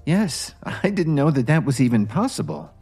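A soft-spoken, courteous bank customer service agent in an audio story, voiced by a man. The delivery is formal and careful, lifted above his usual pitch. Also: animated, normal speed, vocal fry, echoey room, soft background music. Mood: polite.

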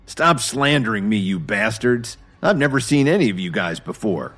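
A man's voice: mid-range voice, moderate pace, faint music behind, voice pushed up, close to an everyday voice. A man voicing an arrogant young heir screaming in denial. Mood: hysterical. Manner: screaming, defensive.

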